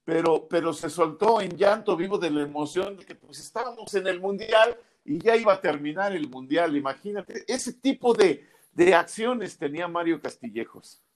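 A man's voice: high-pitched, expressive, moderate pace. A man, a sports journalist, telling earnest stories about a late colleague in conversation. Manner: storytelling, earnest.

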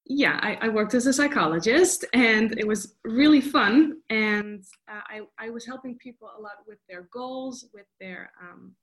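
A woman's voice: fairly high pitch, normal speed, few pauses. Chatty woman guest. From Netherlands. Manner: chatty, loose, many fillers and restarts. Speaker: guest, vocal coach. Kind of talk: casual interview chat.

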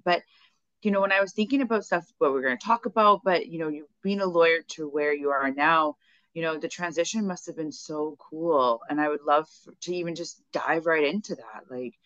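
A woman's voice: sits low, a bit bright, no echo. A woman hosting a podcast, gushing and enthusiastic, filling her speech with "like" and "you know". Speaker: podcast host. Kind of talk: casual interview chat. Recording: dull dry lo-fi mic.

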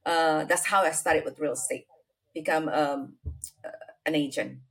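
A middle-aged woman from Indonesia with an Indonesian accent, telling her life story as an interview guest, unhurried and full of fillers. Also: low voice, fairly even intonation, fairly bright tone, low-quality recording. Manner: unhurried, many fillers and restarts, non-native grammar.

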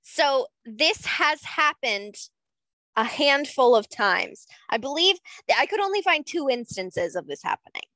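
A young woman co-hosting a casual chat, explaining things slowly with fillers and small laughs. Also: high voice, sing-song, pushed and bright, no echo, poor audio. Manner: unhurried, explains facts with frequent fillers and laughs. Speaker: podcast co-host.

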